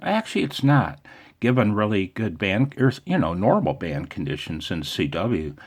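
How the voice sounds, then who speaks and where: A man's voice: fairly low pitch, big pitch swings, moderate pace, slightly rough. An American man talking off the cuff in a casual vlog as an amateur radio operator, with a wry, self-deprecating manner.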